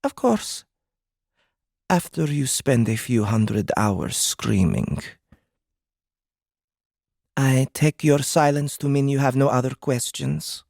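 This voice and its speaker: A woman playing an ominous, sardonic fortune-teller in an audiobook drama, with a creaky voice and a portentous, dry manner. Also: very deep voice, takes it slowly, long pauses, a little mellow, dry recording, full character voice. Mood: deadpan.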